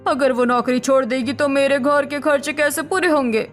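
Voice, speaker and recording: A woman's voice: high voice, fairly even intonation, moderate pace, faint music behind, lightly acted. A woman playing a worried, money-minded middle-aged mother-in-law in a cartoon family melodrama, speaking in a fretful, lamenting way. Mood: distressed.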